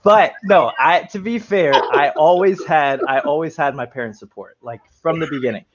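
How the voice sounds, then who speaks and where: A man's voice: a little high, moderate pace. Man chatting over a lo-fi line. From United States, raised in New York and South Florida. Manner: rambling, filler-heavy, frequent restarts. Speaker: guest actor. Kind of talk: casual interview chat. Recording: slightly dull, lo-fi sound.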